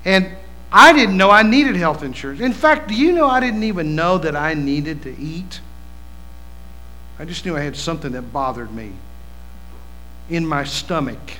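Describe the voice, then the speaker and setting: A man in his fifties from West Virginia, preaching a sermon in a folksy, animated way with asides to the congregation. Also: a little high, poor audio. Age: 50s. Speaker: pastor.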